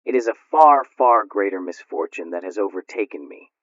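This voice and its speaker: A man's voice: lowish voice, expressive, moderate pace, dry recording, lightly acted. Dry, muffled, forlorn young suitor acted by a man. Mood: desperate. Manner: formal, melodramatic, earnest. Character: forlorn, earnest, overwrought young male suitor. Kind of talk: radio drama acting. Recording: dull, close, dry recording.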